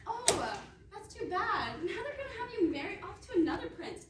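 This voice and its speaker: A woman's voice: very high voice, very animated, a little fast. A woman playing a perky, self-absorbed young princess in a comic stage play, gushing and bossy. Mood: breezy, catty. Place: roomy indoor stage, recorded from afar. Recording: echoey room, distant mic, noisy.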